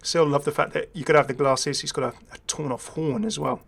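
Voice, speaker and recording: A man's voice: a little high, steady tone, fairly quick, creaky, fairly bright tone. A man hosting a gaming video with casual, unscripted commentary. He is chatty and hesitant, thinking aloud and dropping wry asides.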